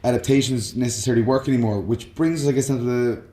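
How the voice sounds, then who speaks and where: A man's voice: mid-range voice, fast talker, warm tone, reverberant. A man chatting casually and enthusiastically as a podcast host, opinionated and free with swearing. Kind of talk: casual chat about games and films.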